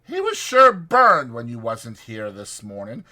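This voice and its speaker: A man's voice: mid-range voice, wildly swooping pitch, unhurried, pushed and bright, exaggerated voice. A man voicing a chatty old ranch swamper with rambling, folksy speech for a dramatized audiobook. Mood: excited, gossipy.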